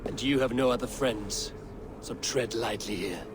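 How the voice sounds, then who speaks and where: A man playing a stern, suspicious Norse god-chieftain in a video game, speaking gravely and with command. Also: medium pitch, unhurried, noisy background, lightly acted. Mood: grave, wary. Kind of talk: dramatic video game cutscene acting.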